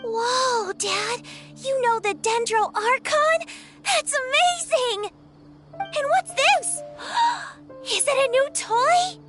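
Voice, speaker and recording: A small, curious girl in a game, extremely high and drawn out in her wonder. She is gushing and easily amazed, with loud music behind her. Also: lively intonation, slow pace, heavily acted. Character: wide-eyed, curious little daughter, easily amazed.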